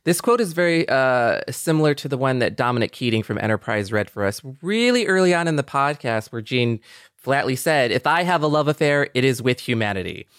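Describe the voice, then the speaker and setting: A man's voice: mid-range voice, animated, brisk, a bit bright, smooth, no echo, clean studio sound. A man co-hosting a podcast in reflective conversation, thinking aloud earnestly and repeating phrases for emphasis. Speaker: podcast co-host.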